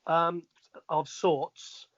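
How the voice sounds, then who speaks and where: A middle-aged Welsh man with a fairly high voice speaks as an entertainer being interviewed. He chats in an easy anecdotal way with little asides to the listener. The sound is slightly dull, though fairly dry. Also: flat delivery, very slow, fairly bright tone, no room sound. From Wales. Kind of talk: casual anecdotal chat.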